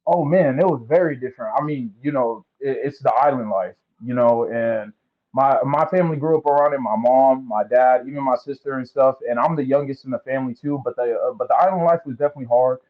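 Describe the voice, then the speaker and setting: A man's voice: medium pitch, fairly quick. Young man on a tinny call line. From American Samoa. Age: young adult. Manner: laid-back, many "you know" fillers. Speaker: football recruit guest. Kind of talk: casual interview.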